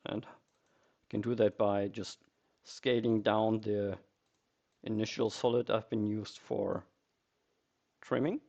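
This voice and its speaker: A man narrating a software tutorial step by step in a methodical, explanatory way. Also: fairly low pitch, fairly even intonation, slow, creaky, pauses often, muffled recording.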